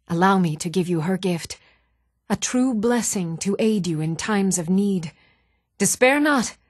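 A woman's voice: medium pitch, no room sound, studio quality. A woman playing a devout, fervent priestess in a fantasy video game, earnest and pious. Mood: delighted, pious. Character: devout, fervent priestess preaching a goddess's warmth.

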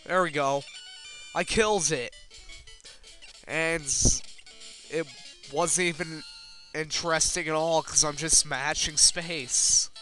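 A man's voice: fairly high pitch, fairly even intonation, slow, pauses often, bright, forceful tone. A man giving casual, rambling video game commentary in a self-deprecating way, with casual swearing. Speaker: let's player. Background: video game music.

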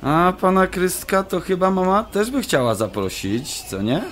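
An actor playing a mildly exasperated, placating adult son, speaking casually in a radio drama. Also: male voice, sits high, very animated, normal speed, a bit bright, slight background noise. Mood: reasoning.

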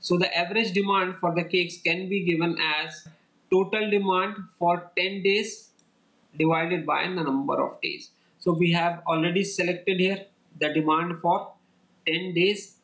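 A man with a slightly raised pitch talks a class through a worked problem on slides. He moves one step at a time and repeats each point in a steady, patient way. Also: measured pace, poor audio. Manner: steady, repetitive step-by-step explaining. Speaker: lecturer. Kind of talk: slide-based lecture walkthrough. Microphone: voice-over over slides.

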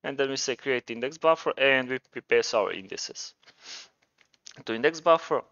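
A man's voice: medium pitch, expressive, normal speed, fairly bright tone, no echo, dull audio. A man with a Croatian accent presenting a programming tutorial, talking informally and thinking aloud as he demonstrates. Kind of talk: casual screencast tutorial narration.

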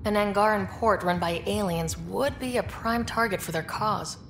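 An actress playing a dry, cocky young space explorer in a video game, terse and self-assured. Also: female voice, mid-range voice, normal speed, natural voice. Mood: businesslike. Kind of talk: video game dialogue acting. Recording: roomy echo, faint background noise.

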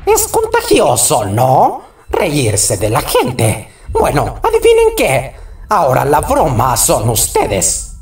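A man with a Latin American accent voicing a taunting, swaggering killer doll with drawn-out menace in a horror dub. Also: on the high side, wildly swooping pitch, breathy edge, heavily acted. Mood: mocking menace. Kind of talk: horror series fan dub. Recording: music behind voice.

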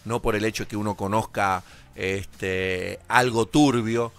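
A man's voice: fairly low pitch, steady tone, measured pace, a bit bright. A man, co-hosting a live show from a radio studio, chatty and rambling. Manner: chatty, rambling, gives the call-in number. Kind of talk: casual chat on a live show. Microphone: headset and studio mic.

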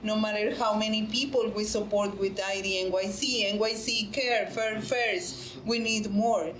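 A woman's voice: mid-range voice, steady tone, a little slow, fairly bright tone. A woman speaking as a panelist in a conference room, earnest and emphatic, repeating phrases to stress a point. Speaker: panelist, nonprofit director. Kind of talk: panel discussion answer. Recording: roomy conference room with faint hum.

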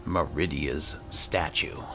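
A man narrating a fantasy game in character, measured, solemn and dramatic. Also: a bass voice, fairly even intonation, bright, forceful tone, soft background music, poor audio. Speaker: gaming video host playing in character.

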